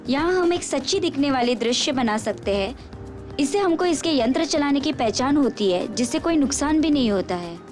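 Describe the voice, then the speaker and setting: A woman's voice: high voice, animated, moderate pace, a little mellow, soft background music. A woman voicing a young female superhero captain in a dubbed cartoon, explaining things in an instructive, guiding way. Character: young female superhero team captain, brisk tour guide. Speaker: cartoon voice actor. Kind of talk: animated cartoon dub.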